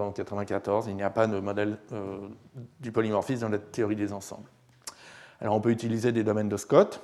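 A man teaching a university class, his voice slightly hoarse. He talks hesitantly, filling gaps and restarting phrases, and keeps checking that the room follows him. His pitch sits in an ordinary middle range. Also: darkish tone. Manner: hesitant, many fillers and restarts, checks understanding. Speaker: lecturer. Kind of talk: university lecture.

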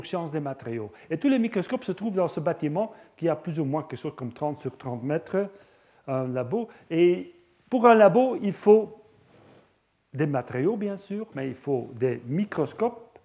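A man's voice: on the high side, gravelly, dark tone. A middle-aged man with a Flemish accent, a native Dutch speaker giving a science lecture in French, hesitant, with frequent fillers and restarts. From Belgium (Flanders).

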